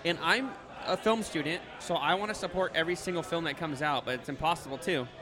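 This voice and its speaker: A man's voice: on the high side, quick pace, crowd noise. A man in his twenties chatting casually as a podcast guest, rambling and swearing a lot. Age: 20s. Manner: excitable, swears often, rambling. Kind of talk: casual chat. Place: brewery.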